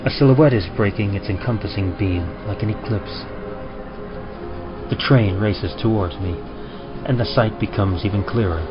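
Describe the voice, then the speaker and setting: Man acting out enthralled grieving lover, deep voice, solemn horror-story delivery, music behind. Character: obsessive lovelorn man awaiting his dead love, enthralled. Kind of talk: dramatic first-person horror narration. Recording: dull audio under loud music.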